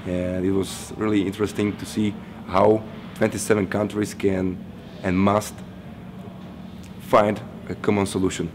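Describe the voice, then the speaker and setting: A young man from Slovakia, a management student, answering interview questions in a steady, measured way. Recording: noisy background rumble.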